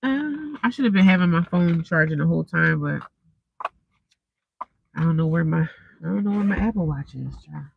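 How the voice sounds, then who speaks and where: A woman's voice: on the low side, animated, normal speed, vocal fry, long pauses, husky, lo-fi sound. A young woman, stuffed up and congested, chatting casually with viewers on a live stream through a cheap earphone mic. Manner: rambling, chatty, talks straight to viewers.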